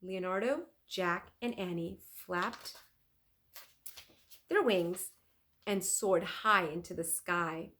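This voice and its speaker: A woman teacher reading a children's story aloud in an expressive, deliberate manner. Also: lowish voice, slight background noise. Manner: deliberate, clearly phrased, animated.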